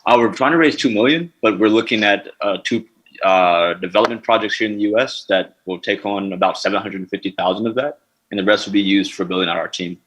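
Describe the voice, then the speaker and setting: Male founder, lowish voice, halting Q&A answers full of fillers. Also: fairly bright tone, poor audio. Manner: halting, many fillers and restarts. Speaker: startup founder pitching. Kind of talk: answering investor questions.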